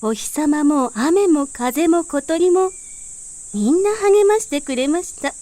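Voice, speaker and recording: High-voiced woman telling a children's fairy tale. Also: expressive, takes it slowly, mellow, no echo. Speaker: storyteller.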